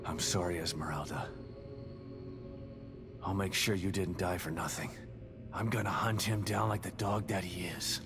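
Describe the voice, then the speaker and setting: Haunted middle-aged survivor, deep, terse, brooding, video game drama, music underneath. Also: male voice, steady tone, moderate pace, long pauses. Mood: grim. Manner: brooding, terse, often dropping to a breathy murmur. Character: grim, guilt-ridden middle-aged male survivor hunting his enemy. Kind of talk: cinematic video game acting.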